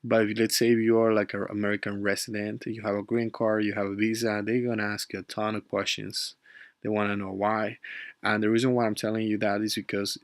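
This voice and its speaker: A man's voice: on the low side, little pitch movement, fairly quick, no echo, clean studio sound. A young man from Ecuador with an Ecuadorian Spanish accent, narrating his travel vlog in chatty, loose English full of fillers and the odd Spanish word. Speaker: travel vlogger.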